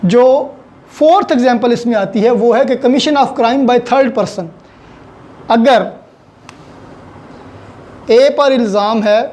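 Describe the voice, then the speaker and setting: Slow, deliberate Pakistani law lecturer. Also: mid-range voice, darkish tone. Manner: deliberate, halting phrasing, switches into English legal terms. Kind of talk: explanatory lecture with examples.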